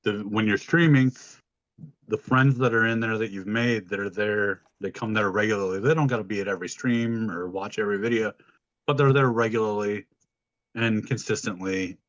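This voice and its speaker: Guy with a deep low voice, podcast co-host just shootin the shit, sweary, kinda dull lo-fi audio. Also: moderate pace, a bit bright, dry recording. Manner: informal, swearing freely. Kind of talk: casual chat, storytelling.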